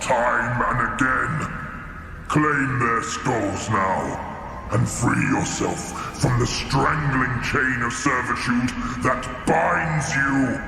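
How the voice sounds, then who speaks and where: A man's voice: mid-range voice, vocal fry. A man playing a taunting, tempting demon of a war god in an audio drama, with menacing, grandiose delivery in a vast echoing space. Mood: tempting, goading. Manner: slow, menacing, grandiose declamation. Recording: cavernous echoing reverb over loud music.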